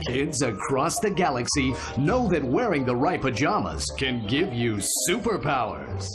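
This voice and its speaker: An actor playing a bombastic promo announcer, reading dramatic parody trailer narration for a video game. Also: male voice, medium pitch, sing-song, measured pace, music in the background. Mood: upbeat pitch.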